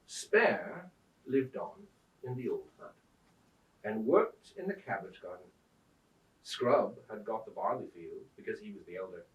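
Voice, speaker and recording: A man reading an old tale aloud as a storyteller, in a theatrical, deliberate manner. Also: lowish voice, lo-fi sound. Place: indoors at a museum. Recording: roomy echo, distant microphone.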